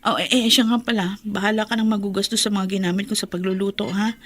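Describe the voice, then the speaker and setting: A woman's voice: mid-range voice, steady tone, fast talker, lightly acted. An actress playing a devoted wife and mother who fusses over her family in a radio drama. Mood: caring. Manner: caring, fretful.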